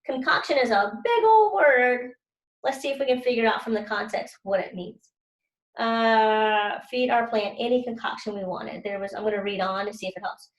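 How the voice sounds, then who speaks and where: A woman speaks as a teacher thinking aloud during a read-aloud. Her voice sits fairly high, and her delivery is informal and explanatory. The room adds a strong echo. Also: moderate pace, highs cut off. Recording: echoey room.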